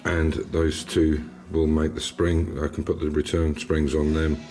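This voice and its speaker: A man's voice: a bass voice, flat delivery, a little slow, a little mellow, echoey room. An English man hosting a casual car restoration vlog, rambling through his workshop with restarts and fillers. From England. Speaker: classic car restorer and channel host. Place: garage workshop.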